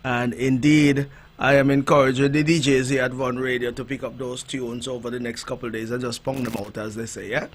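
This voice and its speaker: A man's voice: medium pitch, little pitch movement, a little fast. A man hosting a live radio show on a headset mic, chatty and laughing, with fillers and restarts. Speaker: radio host. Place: radio studio.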